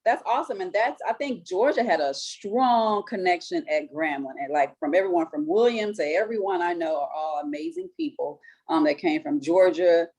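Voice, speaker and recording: Husky-voiced woman moderating a panel. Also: lowish voice, moderate pace, few pauses, a little mellow, poor audio. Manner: chatty and encouraging.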